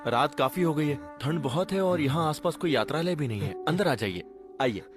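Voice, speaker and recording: Man doing a kind cartoon villager, friendly, welcoming, lively pitch, music behind. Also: moderate pace, close to an everyday voice.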